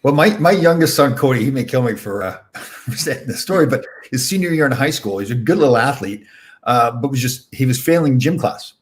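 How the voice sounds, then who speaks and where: A man's voice: mid-range voice, animated, fairly quick. A middle-aged man hosting a casual interview, thinking aloud with frequent restarts and repeated words. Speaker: podcast host.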